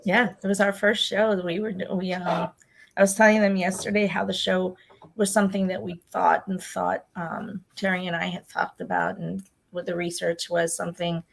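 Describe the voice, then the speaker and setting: A woman's voice: fairly low pitch, level tone, normal speed, creaky, slight background noise. A woman hosting a casual video call chat, rambling and restarting her sentences. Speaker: host.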